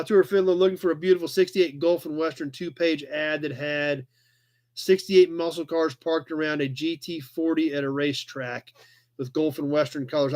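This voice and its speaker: Higher-voiced American man chatting live. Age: 40s. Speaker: live chat show host. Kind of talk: casual rambling livestream chat, reading and answering viewer comments.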